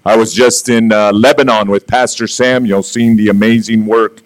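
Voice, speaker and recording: Booming jovial visiting pastor, man. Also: steady tone. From United States. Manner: booming, jovial, short phrases with pauses for translation. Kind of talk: sermon with live interpreter.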